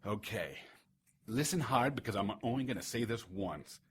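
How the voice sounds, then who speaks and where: Man playing a raspy, tough noir boss. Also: on the low side, animated, normal speed. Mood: grave. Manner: blunt, world-weary, slangy. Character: tough, scolding 1930s detective agency boss. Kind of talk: noir radio drama acting.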